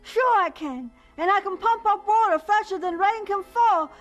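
Earnest, high-pitched Southern boy. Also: normal speed, fairly bright tone, muffled recording, faint music behind. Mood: eager pleading. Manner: pleading, respectful. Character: earnest, eager, polite young boy, poor and determined. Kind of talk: radio drama acting.

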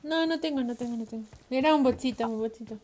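A woman's voice: a little high, quick pace, a little mellow, slight background noise. A young woman chatting playfully with viewers and teammates while streaming a mobile game. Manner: playful, thanks viewers, swears when frustrated. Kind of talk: casual live-stream chat while gaming.

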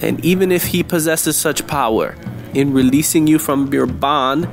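A man's voice: moderate pace, creaky, over music. A man voicing a sneering, menacing servant of a demon in a theatrical, mocking manner. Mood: scornful. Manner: theatrical, mocking, high-pitched.

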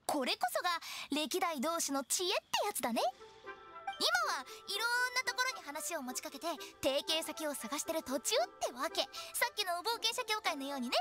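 A woman voicing a playful, pushy teenage girl funeral director in a Japanese anime game, with animated sales patter. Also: very high voice, normal speed. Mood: confident, explaining. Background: game music.

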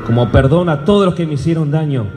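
A man's voice: on the high side, expressive, fast, lightly acted. Man playing a solemn Argentine gaucho, echoing hall. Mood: pleading, forgiving. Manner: solemn, earnest, pleading. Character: gentle, fated gaucho outlaw, forgiving and devout. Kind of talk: live radio drama acting. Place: stage in a large hall before an audience. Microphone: stage microphone. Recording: reverberant hall, music clearly behind.

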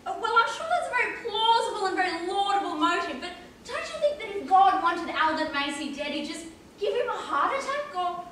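A woman's voice: very high voice, big pitch swings, a little fast, fairly bright tone, exaggerated voice. A woman acting a prim, tartly sensible elderly care-home resident in a stage play, with a proper, exasperated manner. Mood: puzzled, reasoning. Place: large theatre stage, recorded from far off. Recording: echoey distant theatre recording with hiss.

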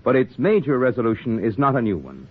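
Male 1940s commercial announcer; animated, husky, mid-pitched, muffled phone-like recording. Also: fast. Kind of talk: scripted 1940s radio advertising. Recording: muffled, narrow-band 1940s radio recording.

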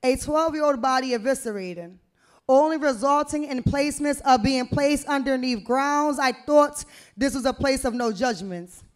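A young woman performing spoken word poetry into a stage mic, with deliberate, emphatic, rhythmic phrasing. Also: high-pitched. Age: young adult. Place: small indoor venue stage with brick wall. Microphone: handheld-type vocal mic on a stand, close to the mouth.

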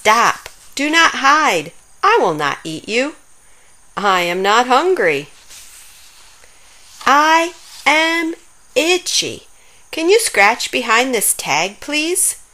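A woman's voice: sits high, sing-song, takes it slowly, pauses often, pushed and bright, full character voice. A woman voicing a big, harmless, itchy T-Rex in a children's storybook, emphatic and pleading. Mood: loud, pleading.

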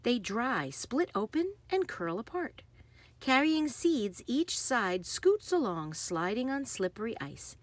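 A woman reads a children's picture book aloud expressively, with deliberate phrasing and emphasis on action words. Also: on the high side, sing-song, no room sound. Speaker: read-aloud host.

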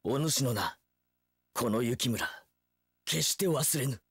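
An actor playing a hot-blooded, earnest young samurai spearman in a video game, with archaic, formal warrior declarations and a raspy voice. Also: medium pitch, slow, fairly bright tone, no room sound, studio quality, exaggerated voice. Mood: solemn, resolute. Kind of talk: video game battle voice lines.

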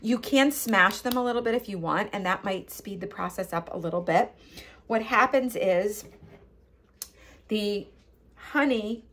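An older woman hosting a home cooking channel, explaining a kitchen how-to in a casual, chatty way, filmed on a phone in her kitchen. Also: medium pitch. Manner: chatty, gestures with hands, frequent ums. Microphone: phone camera at close range.

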